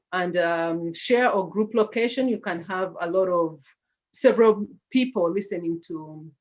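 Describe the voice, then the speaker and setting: Ugandan woman with a lowish voice. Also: a little mellow, muffled recording. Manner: hesitant, many fillers and restarts. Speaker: nonprofit founder presenting slides. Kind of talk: online slide presentation.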